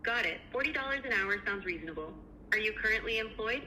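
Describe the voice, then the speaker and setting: A woman with a very bright, forward voice, conducting a screening interview. She speaks quickly in a polite, polished, affirming way. There is faint noise on the phone call. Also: medium pitch, animated. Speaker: AI recruiter interviewer.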